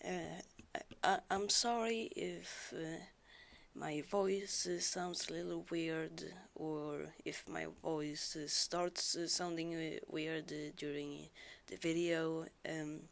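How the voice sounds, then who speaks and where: A woman with a sore throat talks slowly and hesitantly, stumbling over her words and filling pauses. Her voice is low and gravelly with a lot of fry. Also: steady tone. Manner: hesitant, many fillers and restarts. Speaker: storyteller. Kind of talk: casual chat before a story reading.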